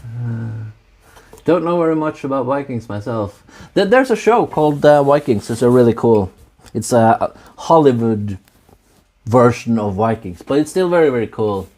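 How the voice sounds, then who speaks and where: A man from Norway with a Norwegian accent, chatting casually on a live stream in halting English full of fillers and restarts. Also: mid-range voice, animated, a little mellow. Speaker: woodworking streamer.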